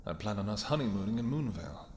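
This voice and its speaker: A low-pitched vampire king acted out by a man speaks in formal, affectionate phrases. He is devoted and possessive, a lover in a fantasy audio romance. Noticeable background noise sits behind the voice. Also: animated, fast, a little mellow. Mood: firm, insistent.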